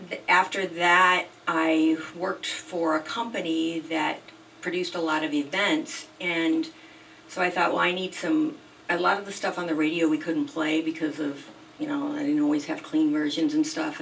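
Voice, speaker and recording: A woman singer-songwriter chatting casually as an interview guest, telling long rambling stories with a soft, sweet voice. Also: low-pitched, vocal fry. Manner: chatty, rambling storyteller with frequent ums. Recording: distant mic with faint background noise.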